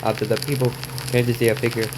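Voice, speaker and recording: A man's voice: mid-range voice, little pitch movement, quick pace, noisy background. A man reading a story aloud as a reaction video host, stumbling over and repeating words, with asides to viewers.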